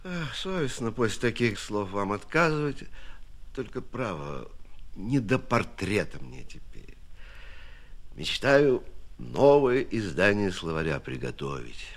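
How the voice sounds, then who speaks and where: Slow, animated old scholar. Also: male voice, on the low side, heavily acted. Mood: earnest, weary. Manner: folksy, old-fashioned, unhurried speech with chuckles. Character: elderly male scholar, modest, hardworking, good-humoured, stubborn lexicographer. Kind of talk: Soviet radio play acting.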